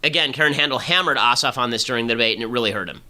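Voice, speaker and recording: A man hosting a political podcast, delivering rapid, emphatic, argumentative commentary. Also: mid-range voice, bright, forceful tone. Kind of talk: fast political commentary and ad read.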